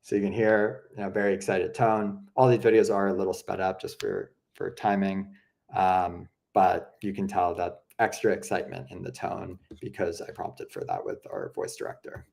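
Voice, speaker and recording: A young man with a slightly low voice talks viewers through a software tool. He keeps it loose and conversational, full of fillers, as if chatting across a desk. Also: normal speed, vocal fry. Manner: relaxed, chatty, many fillers like "you know" and "um". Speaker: product presenter. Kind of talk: casual explanatory walkthrough.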